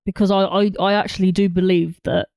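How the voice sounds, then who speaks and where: A woman's voice: medium pitch, flat delivery, fairly quick, gravelly, a little mellow, no echo, studio quality. A woman co-hosting a film podcast, chatting casually about movies. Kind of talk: casual film review chat.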